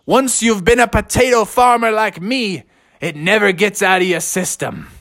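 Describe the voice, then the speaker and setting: A man's voice: sits high, moderate pace, creaky, fairly bright tone, no echo, studio quality, voice pushed up. A man voicing a boastful, playful father bear, a potato farmer with a grandiose, jolly manner in a children's story. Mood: proud, boastful.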